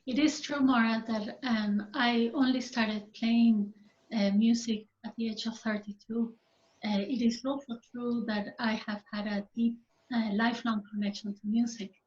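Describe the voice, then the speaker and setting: Measured Peruvian-born woman, higher voice. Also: fairly even intonation, a little mellow. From Peru. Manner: measured, thoughtful, hesitant fillers. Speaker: guest, musician and visual artist. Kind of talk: reflective interview answer. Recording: roomy, dull recording.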